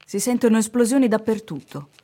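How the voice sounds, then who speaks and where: A woman acting a measured war-story narrator. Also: a little high, expressive, dark tone. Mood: tense narrating. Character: female narrator of a tense war reportage. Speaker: voice actor reciting. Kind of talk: dramatic scripted radio narration.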